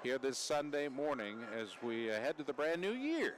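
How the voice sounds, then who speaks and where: An American man anchors a live sports radio broadcast at a medium pitch. His delivery halts and pauses mid-phrase. There is a light bed of indoor arena noise behind him. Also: sing-song. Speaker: radio host and play-by-play broadcaster. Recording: noisy arena ambience.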